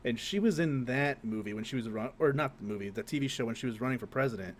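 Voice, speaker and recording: A man with a mid-pitched voice bantering as a podcast co-host. He is casual and joking, heavy on slang and swearing. He often puts on indignation for comic effect. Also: fast.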